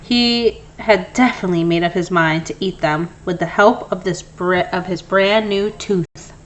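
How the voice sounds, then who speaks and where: A woman reading a children's story aloud in an expressive narrating style. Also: lowish voice, normal speed, dull audio. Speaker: storybook reader.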